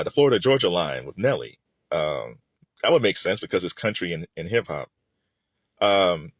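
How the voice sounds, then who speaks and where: Low-voiced man with very animated intonation. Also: no room sound, clean studio sound. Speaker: music commentator and host. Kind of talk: casual opinionated solo commentary.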